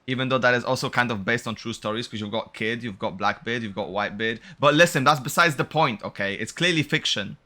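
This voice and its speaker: Bright-voiced male game streamer. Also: mid-range voice, quick pace, few pauses. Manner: slangy, animated reactions, stumbles over foreign names. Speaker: game streamer playing and reacting. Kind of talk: casual gameplay commentary, reading dialogue aloud.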